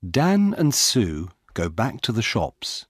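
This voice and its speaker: A man narrating a simple story as an audiobook reader, with careful, deliberate enunciation and expressive delivery. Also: on the low side, breathy edge, no echo, studio quality. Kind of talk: slow, scripted graded-reader story narration.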